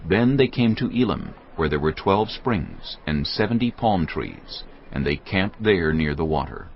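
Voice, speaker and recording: Casting note: male narrator, deep gravelly voice, measured scripture reading, dull track. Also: expressive. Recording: dull audio with faint music behind.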